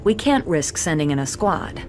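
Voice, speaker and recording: An actress playing a level-headed, cautious resistance commander in a video game, serious and deliberate. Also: female voice, deep voice, very animated. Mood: serious planning. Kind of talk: video game cutscene acting.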